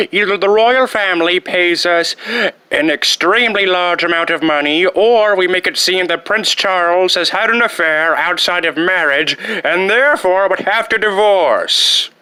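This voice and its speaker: A comic movie supervillain, pompous and petty, with a bright, forceful voice set fairly high. He over-enunciates and savors dramatic pauses, and the recording is close and dry. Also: clean tone, no room sound, low-quality recording. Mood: scheming. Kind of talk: comic film villain impression.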